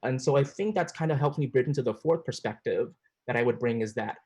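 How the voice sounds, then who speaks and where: A man's voice: mid-range voice, a little fast, darkish tone, breathy edge. A young man in his twenties, earnestly answering interview questions over a video call, with frequent restarts. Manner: earnest, many restarts and fillers. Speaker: planning commission applicant. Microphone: video call mic.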